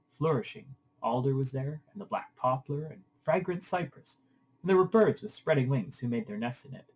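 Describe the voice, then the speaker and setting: A man with a mid-pitched, somewhat husky voice reads epic poetry aloud. His delivery is that of a literary narrator, expressive and formal in its phrasing. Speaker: audiobook reader.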